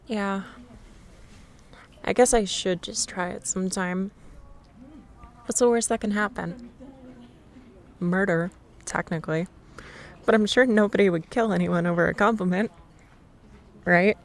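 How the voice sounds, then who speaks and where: A young woman in a scripted ASMR roleplay, playing a shy, nervous stranger who rambles and laughs nervously in a soft voice. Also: medium pitch, unhurried, pauses often.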